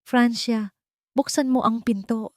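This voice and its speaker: A woman's voice: on the high side, steady tone, slow pace, a little mellow, dry recording, clean studio sound, voice pushed up. A woman voicing a greedy, scheming older mother who feigns piety, in an audiobook drama. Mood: falsely tender.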